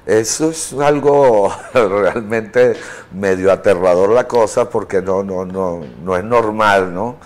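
A man's voice: on the low side, takes it slowly. A man in his fifties, born in Italy, speaking as a guest in a TV studio on a lapel mic, telling stories in a loose, rambling way full of fillers. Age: 50s. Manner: rambling storyteller, chuckling, with fillers. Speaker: interview guest. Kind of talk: casual chat.

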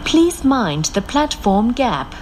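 Woman, train announcer, scripted, formal, unhurried delivery, animated pitch movement, loud vehicle noise. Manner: measured, clearly enunciated, formal. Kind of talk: scripted recorded transit announcements. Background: loud moving train ambience.

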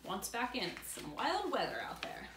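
A woman in her thirties reads a storybook aloud to children as a teacher, pausing to explain things in simple words. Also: mid-range voice, wildly swooping pitch, a bit bright. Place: indoor room beside a large glass door. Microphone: camera a few feet away. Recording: roomy, distant camera mic with faint noise.

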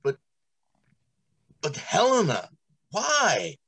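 A grave, formal factory director in an old-time radio play, acted by a man. He is anguished, with a husky edge and a bright, forceful delivery. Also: sits high, wildly swooping pitch, very slow, heavily acted. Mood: stunned. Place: indoors, on a video call.

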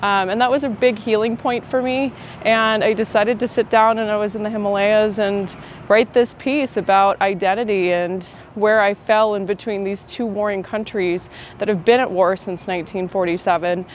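A woman's voice: medium pitch, fairly even intonation, normal speed. Earnest young woman outdoors in the city. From Aurora, Colorado. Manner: earnest, personal, with um fillers. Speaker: family law attorney. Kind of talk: prepared award acceptance remarks. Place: outdoors in an urban plaza. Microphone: lapel mic. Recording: dull outdoor recording with street hum.

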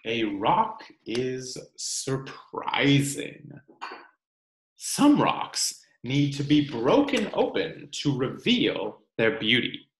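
Expressive man reading aloud, slow and roomy. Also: mid-range voice, a little hiss or hum. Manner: expressive, deliberate, teacherly with questions to listeners. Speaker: children's read-aloud presenter. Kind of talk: picture book read-aloud. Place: indoor room at home. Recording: roomy home webcam sound.

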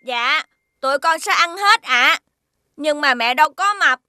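A woman's voice: sits high, animated, unhurried, pushed and bright, no echo, clean studio sound, heavily acted. A woman voicing a small, childlike, earnest alien kid in a children's cartoon. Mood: sweet, eager. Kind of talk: children's animated series dubbing.

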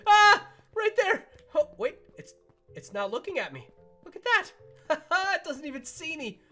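A woman playing an excitable, jumpy animatronic fox in a chatty, theatrical Minecraft roleplay. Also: extremely high pitch, a little slow, faint music behind, full character voice. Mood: relieved, upbeat. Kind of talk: improvised Minecraft roleplay for a family audience.

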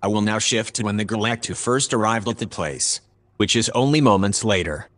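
A man playing a calm, formal artificial intelligence, reporting in a measured, dispassionate way in a sci-fi audio drama. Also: lowish voice, lightly acted. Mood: detached.

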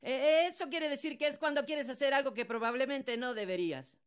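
A man's voice: very high voice, a little fast, fairly bright tone. A man voicing a friendly, easily exasperated tomato host in a Latin American Spanish cartoon dub, chatty and flustered. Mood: explanatory. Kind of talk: dubbed cartoon acting.

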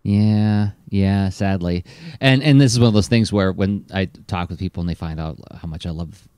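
Animated man chatting on a podcast. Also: low voice, moderate pace, clear, resonant tone, dry recording. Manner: animated, lots of fillers. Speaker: podcast co-host. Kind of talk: casual chat.

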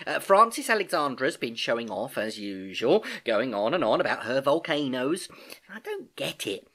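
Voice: mid-range voice, sing-song, normal speed, fairly bright tone. A storybook reader voicing an earnest, curious schoolgirl niece, eager and questioning, easily exasperated. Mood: chatty.

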